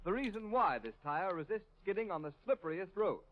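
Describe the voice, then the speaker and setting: Booming vintage radio announcer, man. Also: lively intonation, brisk, over a noisy crowd. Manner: booming, salesy delivery. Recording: muffled vintage radio broadcast with music.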